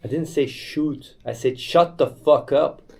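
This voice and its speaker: Brief: blunt, swearing male stream host, mellow dark tone. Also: a little high, unhurried, vocal fry. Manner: blunt, profane, irritable. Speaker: livestream host. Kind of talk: casual live-stream chat.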